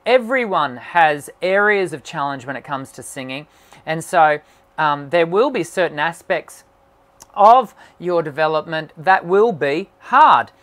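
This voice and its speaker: A man's voice: fairly high pitch, animated, a little slow, a bit bright. Guy vocal coach doing a live q and a, upbeat and encouraging, lots of ums. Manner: encouraging, talks with many "um" fillers. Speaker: singing teacher and channel host. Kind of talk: live-stream question and answer.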